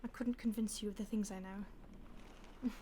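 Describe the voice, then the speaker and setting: A woman voicing a mocking, predatory female vampire stalker who talks down to her victim, soft-spoken and slipping into whispers. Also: mid-range voice, fairly even intonation, unhurried, a little hiss or hum, close to an everyday voice. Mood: disdainful. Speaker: audio roleplay voice actor. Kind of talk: audio roleplay acting.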